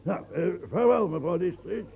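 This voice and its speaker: Creaky husky old lecher of a nobleman, rambling and chuckling, darkish tone. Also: high-pitched, animated, normal speed, a little mellow, heavily acted. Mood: boastful. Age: older. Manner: rambling, chuckling, coughing, stammering. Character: aging boastful womanizing old male nobleman. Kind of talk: radio play acting.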